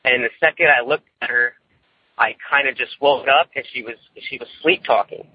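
A young man, calling in by phone and telling a personal story in a casual, rambling, nervous way, often halting and repeating himself. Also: mid-range voice, breathy edge, dry recording. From California, United States. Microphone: phone line. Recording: tinny, boxy phone call.

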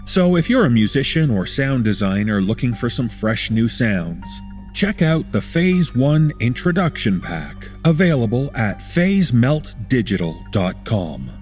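A man reading scripted narration as a podcast host, in a measured, deliberate way. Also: medium pitch, a little mellow. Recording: music under the voice. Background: background music.

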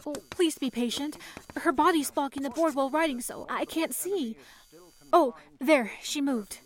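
An actress playing a polite, earnest young telephone operator in an old-time radio drama, soothing and composed in manner. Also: female voice, high-pitched, normal speed, natural voice. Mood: attentive. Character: young 1930s telephone operator, calm, earnest woman saving for college.

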